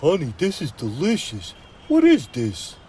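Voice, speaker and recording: A man's voice: on the high side, wildly swooping pitch, a little slow, warm tone, slight background noise. A man voicing a young male hot dog vendor who talks in tough street slang and is sweet on a girl. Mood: eager.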